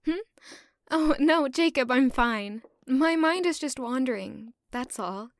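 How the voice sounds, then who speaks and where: Young female castle servant, slightly high-pitched, nervously musing storyteller for a fantasy audio drama. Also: lively intonation, slow pace, full character voice. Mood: polite, friendly. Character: young female castle servant, anxious, curious, chatty narrator. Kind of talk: first-person audio drama narration and dialogue.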